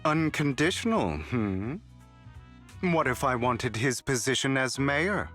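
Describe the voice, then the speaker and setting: A man's voice: medium pitch, lively intonation, slow, fairly bright tone, soft background music. A man voicing a sardonic, scheming man in a game cutscene, sly in his questions.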